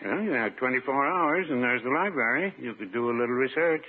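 An actor playing a mild, dry-witted pharmacist, deadpan and unhurried, in a 1950s radio comedy. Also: male voice, medium pitch, breathy edge. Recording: noisy, muffled 1950s radio recording.

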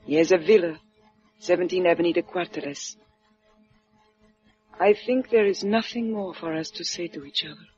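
A woman's voice: fairly low pitch, darkish tone, close to an everyday voice. Proud, sorrowful Cuban woman acted in radio drama. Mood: sorrowful, cold. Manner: formal, measured, melodramatic. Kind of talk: 1950s radio drama acting. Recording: muffled old radio recording, music.

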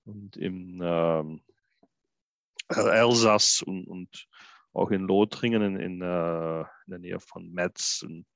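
A man from Belgium, a guest interpreter on a podcast, talking casually and haltingly, full of fillers and restarts. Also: low-pitched, fairly even intonation, gravelly, fairly bright tone, no echo. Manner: hesitant, many fillers and restarts. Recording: dull, lo-fi, dry sound.

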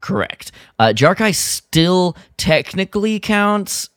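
A man's voice: sits high, sing-song, slow pace, a bit bright, clear, resonant tone, no room sound, studio quality. A man co-hosting a podcast, recapping a radio drama in casual chat, rambling with lots of fillers and restarts. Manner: rambling, full of fillers and restarts, quotes characters mockingly. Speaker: podcast co-host.

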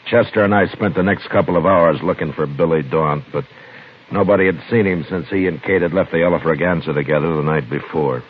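An actor playing a weary, steady, laconic Old West marshal in a 1950s radio western. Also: male voice, deep voice, fairly quick, breathy edge. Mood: sober narration. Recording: muffled, noisy old-time radio recording.

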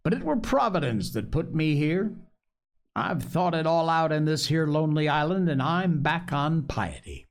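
Crazed castaway sailor, storybook, rough rustic talk, childishly eager, sly, wildly swooping pitch. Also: male voice, sing-song, moderate pace. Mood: rambling, pious. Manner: rustic, ungrammatical old sailor talk, repeating words.

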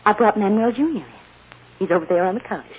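A woman's voice: medium pitch, lively intonation, quick pace, slightly rough. A woman playing a loving, anguished mother who tenderly soothes her child, in old radio melodrama style. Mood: tender, soothing. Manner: emotional, tender, dramatic. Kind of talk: 1940s-50s radio melodrama acting. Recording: muffled, noisy old radio recording.

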